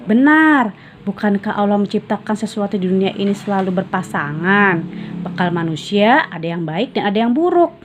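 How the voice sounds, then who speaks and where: A woman's voice: medium pitch, expressive, moderate pace, noisy background, lightly acted. A woman voicing a wise, kindly sheikh who teaches patiently and instructively in a storybook read aloud. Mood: affirming. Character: wise, kindly male sheikh advising two boys.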